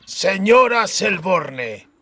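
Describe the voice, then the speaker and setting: A man's voice: sits high, big pitch swings, extremely slow, vocal fry, bright, forceful tone, voice pushed up, heavily acted. A man voicing a venomous, commanding villain colonel in an audiobook, drawling pompous archaic threats. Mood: venomous threatening.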